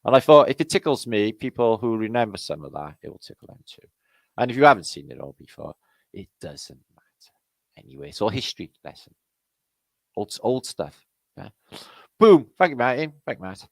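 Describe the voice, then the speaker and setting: Welsh male stream host, middle-aged, low gravelly voice, dry close sound, rambling and sweary. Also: sing-song, a little fast, no echo. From Wales. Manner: rambling, swears a lot, greets chat names. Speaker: livestream host. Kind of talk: casual live-chat banter.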